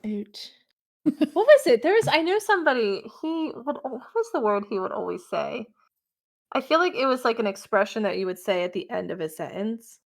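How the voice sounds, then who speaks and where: A woman with a high voice co-casts a live card game, playful and quick to giggle. She teases the players and chats loosely with her partner. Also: very animated, vocal fry. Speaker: esports caster.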